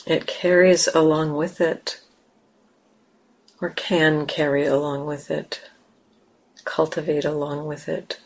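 A woman meditation teacher gently guiding a meditation, unhurried, with long pauses between short phrases. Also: deep voice, level tone. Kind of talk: guided meditation. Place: meditation center.

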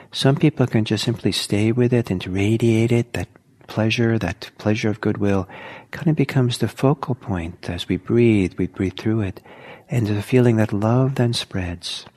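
A man teaching meditation in a soft, slow, halting way, with many pauses. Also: on the low side. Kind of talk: guided meditation talk.

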